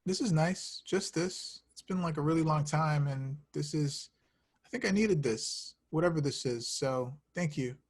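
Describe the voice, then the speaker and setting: A man reading the part of an awkward, eager, insecure young man in love, halting and sheepish, in a screenplay table read. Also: on the high side, slightly rough. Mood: tender.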